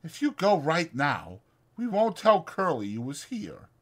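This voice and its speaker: A man's voice: on the high side, huge pitch swings, measured pace, fairly bright tone, voice pushed up, full character voice. A man voicing an anxious, kindly old ranch hand with folksy rural speech in a dramatized audiobook. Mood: reassuring. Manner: folksy rural American dialect.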